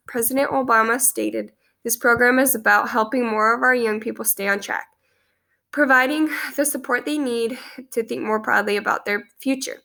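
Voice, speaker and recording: A young woman with a cold reads her class post aloud, earnest and steady, tripping now and then over a word. Her voice sits fairly high and bright, with a gravelly fry under it and a stuffy nose. She is close to a webcam in a small room. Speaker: student presenting a class post. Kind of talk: read-aloud presentation. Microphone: webcam at close range.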